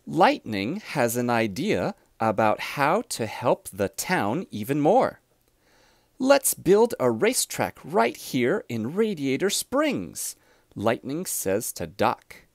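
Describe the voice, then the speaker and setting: A man reads a children's storybook aloud with deliberate, expressive phrasing. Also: medium pitch, vocal fry, no room sound, studio quality. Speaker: storybook reader.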